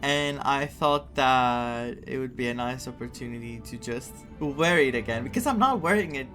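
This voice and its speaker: A young man with a Greek accent streaming a game, chatting excitedly, laughing often and reading quest text aloud. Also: mid-range voice, normal speed, soft background music.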